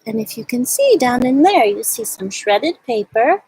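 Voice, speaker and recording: A woman hosting a storytime for young children, explaining and reading aloud in simple words with little questions, in a slightly husky voice. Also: fairly high pitch, sing-song, normal speed, darkish tone, a little hiss or hum, poor audio. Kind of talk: show-and-tell and read-aloud for young children.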